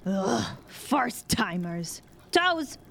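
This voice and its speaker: A woman's voice: mid-range voice, very animated, very slow, fairly bright tone, full character voice. An actress playing a grumpy, impatient woman in an audio drama, with dry, exasperated sarcasm.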